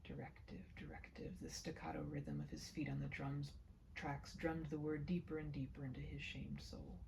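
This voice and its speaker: A woman's voice: low-pitched, steady tone, gravelly, noisy background. Whispery woman reading a story. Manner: hushed, often dropping into whispers; slow, deliberate phrasing with dramatic pauses. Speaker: storyteller reading her own short story. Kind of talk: read-aloud of a literary short story, soft and ASMR-leaning.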